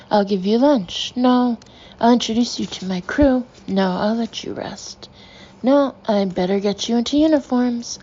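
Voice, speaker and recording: A woman voicing an excitable male sea captain who talks loudly, keeps correcting himself and tacks "aye" onto his sentences. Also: medium pitch, gravelly, slightly rough, highs cut off. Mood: rambling.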